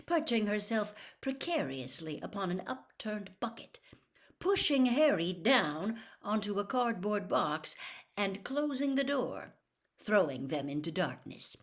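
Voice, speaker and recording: A woman storyteller reading a novel aloud in expressive audiobook-style narration. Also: medium pitch, a little slow.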